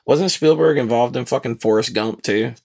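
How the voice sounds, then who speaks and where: A young man hosting a casual podcast, high and rambling, swearing freely and boasting between laughs. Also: moderate pace, creaky, a little mellow, slightly rough. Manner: profane, rambling, loose and boastful. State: high on cannabis. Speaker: podcast host and rapper. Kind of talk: casual stoned podcast chat.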